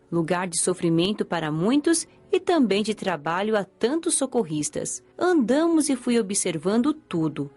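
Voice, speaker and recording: A woman's voice: medium pitch, a little mellow. A woman playing an earnest, curious young female spirit, telling of her afterlife in Brazilian Portuguese audiobook narration. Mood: observant.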